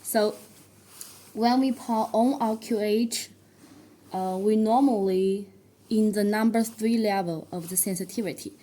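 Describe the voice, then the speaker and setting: A woman who speaks English as a second language, presenting a product tutorial in a halting, step-by-step way. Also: mid-range voice, mellow. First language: not English. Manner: halting, step-by-step delivery with non-native phrasing.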